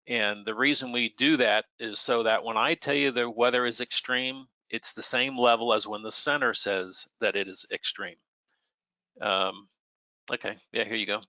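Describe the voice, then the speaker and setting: Bright-voiced American man explaining plainly. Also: medium pitch, no echo, low-quality recording. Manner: plainspoken, illustrates points with imagined radio exchanges. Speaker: air traffic controller answering questions. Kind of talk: conversational expert Q&A, explaining.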